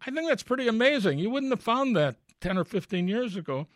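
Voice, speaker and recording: A man's voice: high-pitched, big pitch swings, fairly quick, dry recording. A man hosting a radio interview, speaking conversationally and with rising emphasis as he makes points. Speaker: radio host.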